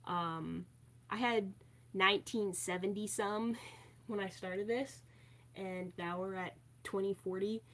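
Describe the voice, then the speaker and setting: A woman from Maryland talks to her viewers on a live stream, chatty and playful. She reads comments aloud and answers them in an easygoing way, with plenty of fillers and quick asides. Her pitch sits in a middle range. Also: fairly even intonation.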